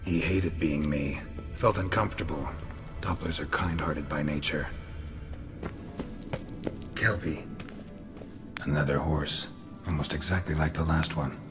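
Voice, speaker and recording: A man playing a stoic, world-weary monster hunter in a video game, laconic and deadpan with a gravelly growl. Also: rumbling low, measured pace, long pauses, over music. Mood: dry. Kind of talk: video game cutscene acting.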